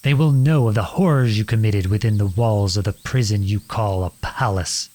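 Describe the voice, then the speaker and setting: A man playing a defiant young outlawed prince in a dramatic audio roleplay, speaking earnestly and deliberately in a husky voice. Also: fairly low pitch, a little mellow, close to an everyday voice. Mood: bitter, defiant. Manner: earnest, deliberate, pleading and accusing. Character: defiant outlawed young prince confronting his cruel king father.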